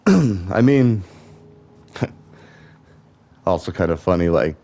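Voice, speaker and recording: A young man with a deep voice, musing on tangents while he plays a game. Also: lively intonation, very slow, mellow. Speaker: gaming vlogger. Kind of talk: rambling commentary while playing a game.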